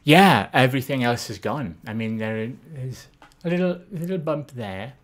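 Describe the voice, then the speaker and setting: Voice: medium pitch, lively intonation, normal speed. A live streamer with a Swansea Welsh accent chatting casually, reading viewers' comments and joking at their own expense. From Wales. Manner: chatty, self-deprecating, reads chat aloud.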